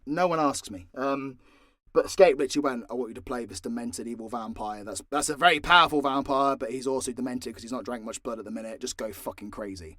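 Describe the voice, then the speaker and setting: Man, medium pitch, casual unscripted Q&A, candid, chatty, sweary, dry echo-free sound. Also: quick pace, sharp tone. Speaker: voice actor and audio creator answering fan questions.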